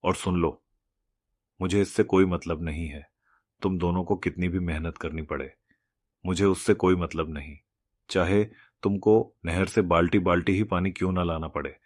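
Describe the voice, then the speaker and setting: Man playing a deep-voiced, harsh cartoon landowner. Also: fairly even intonation, normal speed, a little mellow, no echo. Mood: scolding, demanding. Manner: harsh, scolding, domineering. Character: cruel, stubborn, miserly rich village landowner. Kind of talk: Hindi moral cartoon story acting.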